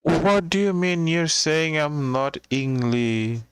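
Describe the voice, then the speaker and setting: A man from Bangladesh, chatting casually on his live stream in a halting way, with many restarts. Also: fairly high pitch, animated, smooth. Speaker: livestreamer.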